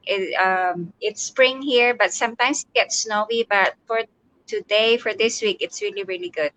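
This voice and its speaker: A woman's voice: mid-range voice, moderate pace, a bit bright, rough recording. Woman guest from the Philippines, friendly and upbeat, plain voice. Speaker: guest, health worker. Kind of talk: casual chat.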